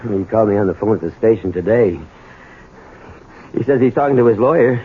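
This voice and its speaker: An actor playing a troubled, desperate husband in a 1970s radio drama, halting and emotional. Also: male voice, on the low side, animated, narrow, tinny audio, natural voice. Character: troubled, desperate husband and small business owner, bitter toward his father. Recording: thin, noisy old radio broadcast.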